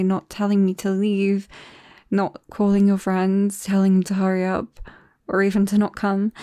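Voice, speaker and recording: A British woman playing a nervous, tender vampire best friend in an ASMR roleplay, speaking softly and haltingly with drops into whispers. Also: mid-range voice, dry recording, clean studio sound. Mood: disbelieving, tentative. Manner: hesitant, halting, breaking into whispers. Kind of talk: ASMR roleplay, soft intimate acting. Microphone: close microphone, dry.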